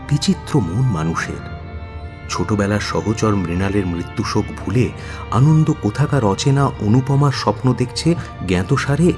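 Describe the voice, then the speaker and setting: A man narrating a scripted audio story in a dramatic style. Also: on the low side. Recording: long studio reverb, music bed. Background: faint music.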